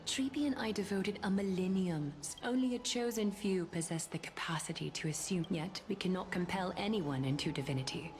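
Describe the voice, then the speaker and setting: An actress playing a composed, courteous noblewoman leader in a fantasy game, speaking formally and with measured care. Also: medium pitch, a little hiss or hum, natural voice. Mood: composed, serious. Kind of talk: scripted game voice acting. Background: loud music.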